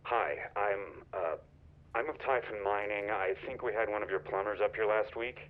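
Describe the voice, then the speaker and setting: Fed up awkward company worker, halting, very tinny phone line, game. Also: male voice, on the low side, slight background noise. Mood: awkward, exasperated. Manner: halting, reluctant. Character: awkward, exasperated male mining company worker. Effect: telephone filter.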